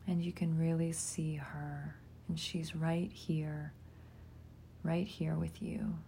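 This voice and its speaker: A woman leading a guided meditation in a soft, soothing manner, pausing often and trailing into breathiness. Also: sits low, little pitch movement, vocal fry, a little hiss or hum.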